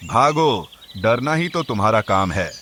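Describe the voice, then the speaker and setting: A man's voice: on the low side, sing-song, a little slow, sharp tone, a little hiss or hum, full character voice. A man voicing a boastful, domineering lion king in a children's cartoon, speaking in a pompous, commanding way. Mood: menacing.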